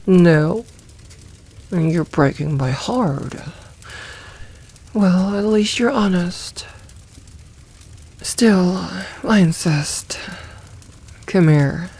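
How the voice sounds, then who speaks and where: A woman voicing a possessive, teasing succubus demoness in a soft ASMR roleplay, coaxing and soothing, with some breathy moments. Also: on the low side, drawn-out words, pauses often, full character voice.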